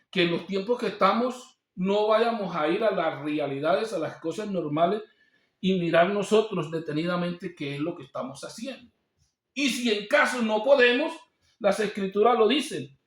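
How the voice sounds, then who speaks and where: A man's voice: high-pitched, a bit bright. A male pastor preaching a sermon, asking rhetorical questions and quoting scripture. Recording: echoey room.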